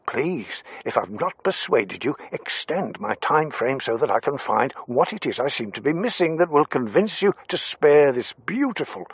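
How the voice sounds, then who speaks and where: An earnest alien dad, muffled recording. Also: male voice, a little high, slightly rough, dry recording, exaggerated voice. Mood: earnest pleading. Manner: grandiose, formal, earnest. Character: earnest eccentric father, secret alien envoy pleading for mankind. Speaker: actor in radio comedy drama. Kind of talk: radio drama monologue, recorded report. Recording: muffled band-limited recording under music.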